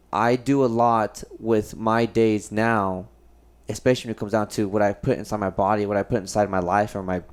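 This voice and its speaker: Reflective man rambling on a podcast. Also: lowish voice. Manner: reflective, rambling, many restarts. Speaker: podcast host. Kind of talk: casual podcast conversation.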